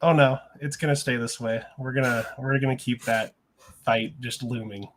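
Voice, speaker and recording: A man's voice: mid-range voice, fairly even intonation, normal speed, a little hiss or hum. A man co-hosting a casual sports podcast, talking in a confident, joking way. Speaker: podcast co-host.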